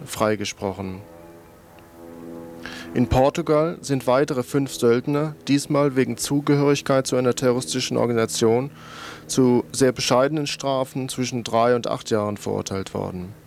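A man's voice: mid-range voice, normal speed, a little mellow, faint music behind. A man presenting a scripted radio news report in a matter-of-fact way, with small stumbles in his reading.

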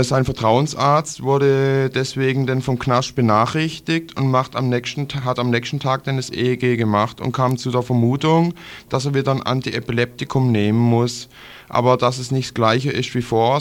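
A man with a southwestern German accent presenting an informal radio news report. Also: mid-range voice. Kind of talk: informal news report, partly reading from documents.

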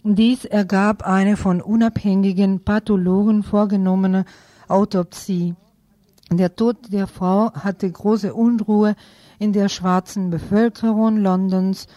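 A woman's voice: mid-range voice, steady tone, takes it slowly, warm tone, no room sound. A woman reading news items as a radio presenter.

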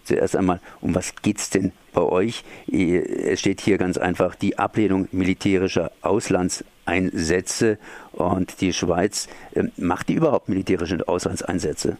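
A man's voice: low voice, steady tone, measured pace, darkish tone, no echo. A man asking questions as the interviewer in a conversational radio interview. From Germany.

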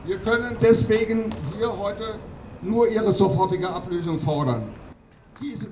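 A man delivering a political speech at a protest rally in an emphatic, accusatory, declamatory way with deliberate phrasing. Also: high-pitched. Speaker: activist doctor speaking at a protest rally. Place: outdoor rally. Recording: distant outdoor mic with clear noise.

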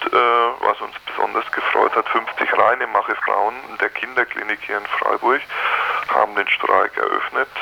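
A man giving measured, hesitant answers as a union official over a noisy telephone line. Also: fairly low pitch, vocal fry.

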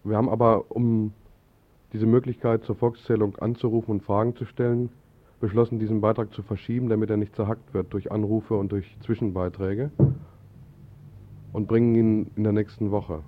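A man's voice: on the low side, level tone, normal speed. A man presenting on the radio, making announcements and reading scripted narration in a studio. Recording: dull lo-fi 1980s radio recording.

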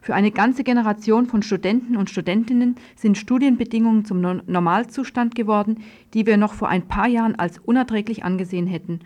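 A woman's voice: mid-range voice, brisk, darkish tone. Woman presenting scripted radio news. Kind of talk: reading a scripted political news report.

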